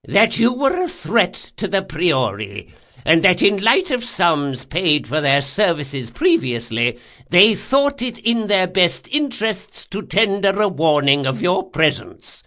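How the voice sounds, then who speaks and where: Male narrator as rich old man, storybook villain, high swooping pitch, haughty, formal, indignant, bargaining. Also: sing-song, measured pace, pushed and bright, exaggerated voice. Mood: guarded, haughty. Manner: haughty, formal, pleading when frightened.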